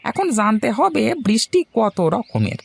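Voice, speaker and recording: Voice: medium pitch, wildly swooping pitch, unhurried, vocal fry, exaggerated voice. An actor voicing a scornful, pedantic village rationalist man in a cartoon, lecturing. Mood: explaining. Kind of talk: cartoon dialogue.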